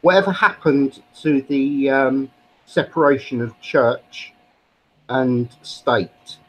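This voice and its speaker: A man's voice: mid-range voice, slow pace, low-quality recording. A British man arguing politics on a live stream in an opinionated, lecturing way. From Britain. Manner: opinionated, lecturing, uses actually a lot. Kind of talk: casual political argument.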